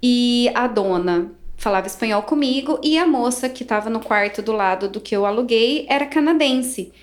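A Brazilian woman, an English teacher, telling travel stories in a casual podcast chat, animated and acting out quoted voices. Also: a little high, moderate pace. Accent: Brazilian Portuguese. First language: Portuguese.

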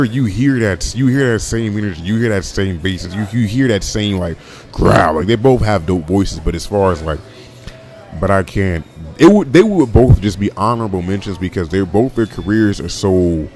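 Deep-voiced man rambling on a podcast. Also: a little mellow. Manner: rambling, full of restarts and fillers. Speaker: podcast host. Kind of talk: casual solo podcast talk.

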